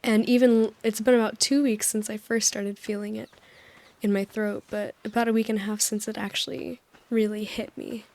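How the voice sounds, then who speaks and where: A woman hosting a sleep-aid video, rambling gently over gameplay, her voice slightly hoarse as it recovers. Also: medium pitch, little pitch movement, normal speed. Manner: gentle, soothing, meandering with small laughs. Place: voice-over recorded apart from the game footage.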